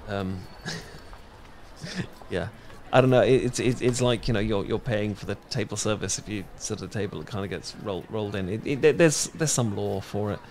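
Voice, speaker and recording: A man's voice: on the low side, moderate pace, a little mellow, slight background noise. A young British man in a romantic audio roleplay, talking shyly with many fillers and restarts. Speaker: ASMR audio roleplay performer.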